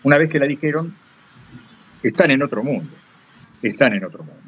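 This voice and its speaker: An older Argentine man speaking at a medium pitch as a guest at a talk. He tells anecdotes reflectively, rambling and halting as he goes. He often repeats a phrase to underline his point. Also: expressive, slightly rough, lo-fi sound. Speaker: guest speaker, retired radio broadcaster.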